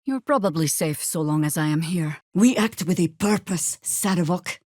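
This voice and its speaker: A woman voice actor playing a seasoned, older druid warrior in a video game, wry, stern and proud, with measured, commanding delivery. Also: fairly low pitch, lively intonation, a little mellow, no echo, studio quality. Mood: cool, guarded. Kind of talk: scripted video game dialogue.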